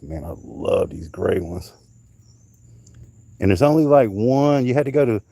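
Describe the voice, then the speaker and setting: A man's voice: fairly low pitch, vocal fry, dark tone, no room sound. A middle-aged man, rambling casually on a livestream while showing off things he found, swearing as he goes. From North Carolina, US. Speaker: livestream host. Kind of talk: casual livestream show-and-tell. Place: outdoors at night in summer heat.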